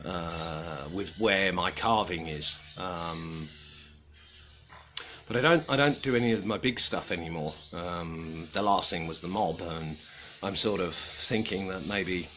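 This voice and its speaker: A man's voice: sits low, fairly bright tone. Subdued british-accented guy chatting on a stream, lots of stops and restarts, noise hum behind him. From Australia. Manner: halting, hesitant, many pauses and restarts. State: low mood, subdued. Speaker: livestream host of a carving group. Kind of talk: casual livestream chat, greeting viewers.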